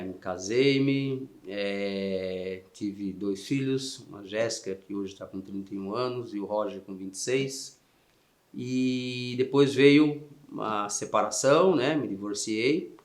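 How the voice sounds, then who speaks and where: A man in his fifties from São Paulo with a São Paulo accent, answering interview questions in a soft, halting way with frequent "né" tags. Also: medium pitch. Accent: São Paulo Portuguese. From São Paulo, Brazil. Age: 50s. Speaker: interview guest, Paralympic swimmer.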